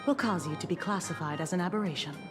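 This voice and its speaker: Low-pitched, composed, stern woman investigator , voiced by an actress. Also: over music, lightly acted. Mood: official, grave. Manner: formal, measured, briefing-like. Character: composed, stern female investigator with official authority. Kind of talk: scripted video game dialogue.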